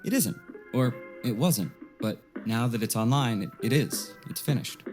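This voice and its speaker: A woman playing a washed-up, self-doubting rock frontman in an audio drama, with casual, halting speech. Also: very deep voice, darkish tone, soft background music. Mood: defensive. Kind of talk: naturalistic audio drama dialogue.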